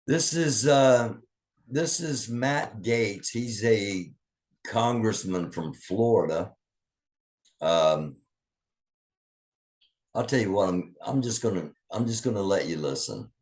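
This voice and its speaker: A man's voice: on the low side, lively intonation, takes it slowly, pauses often, darkish tone. A man hosting an online news commentary show in a casual, rambling way, full of fillers and restarts. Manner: rambling, thinking aloud with many fillers.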